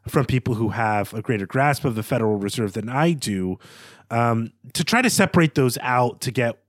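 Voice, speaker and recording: A man's voice: medium pitch, animated, moderate pace, no echo, studio quality. A man co-hosting a literary discussion podcast, speaking off the cuff in a thoughtful, hedging, analytical way. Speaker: podcast co-host.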